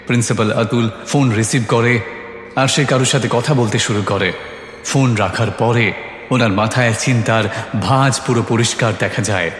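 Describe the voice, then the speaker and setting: A man's voice: mid-range voice, moderate pace, fairly bright tone, a little hiss or hum. A man reading a scripted horror story as its narrator. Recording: echoey, long hall-like reverb.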